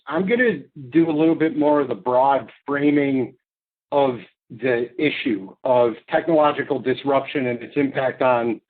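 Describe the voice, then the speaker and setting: A man talks through a webinar presentation in a higher-pitched voice, chatty and unscripted, with fillers. His voice comes through a narrow, tinny, phone-like line. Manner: talkative, loose, with fillers and laughs. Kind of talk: relaxed conversational presentation.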